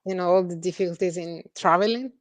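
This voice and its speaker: A woman's voice: fairly low pitch, fast, no echo. A woman, answering interview questions as a psychologist, hesitant with many fillers. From Italy. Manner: hesitant, many ums and uhs. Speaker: guest psychologist.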